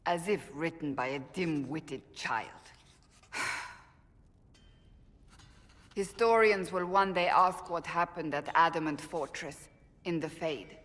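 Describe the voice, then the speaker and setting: A woman playing a stern, devout, thoughtful warrior in a video game, with formal and deliberate wording. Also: low-pitched, fairly bright tone, in a large hall. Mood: self-critical. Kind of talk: dramatic video game acting.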